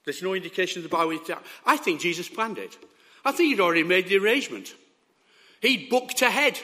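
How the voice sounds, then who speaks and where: A man preaching a sermon with a hoarse, sore throat, emphatic and often checking that his listeners are following. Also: high voice, big pitch swings, a little fast, pushed and bright.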